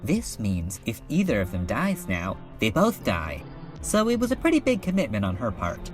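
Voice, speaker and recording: A man's voice: lowish voice, huge pitch swings, normal speed. A man voicing a slangy, enthusiastic geek who rambles about fanfiction in a webcomic fan dub. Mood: casual storytelling. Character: casual slangy geek excitedly telling and discussing fanfiction. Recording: music under the voice.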